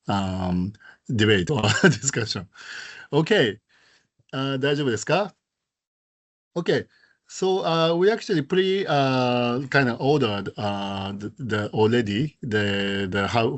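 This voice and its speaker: A man with a Japanese accent, a native Japanese speaker, hosting an online presentation meeting in halting speech full of fillers. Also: fairly low pitch. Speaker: host.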